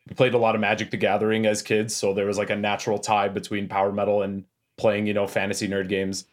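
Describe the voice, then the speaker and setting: A Canadian man in casual podcast chat, a deep-voiced baritone who tells stories with energy and acts out what people said. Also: fast talker. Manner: animated storyteller, acts out quotes. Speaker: guest, metal band frontman.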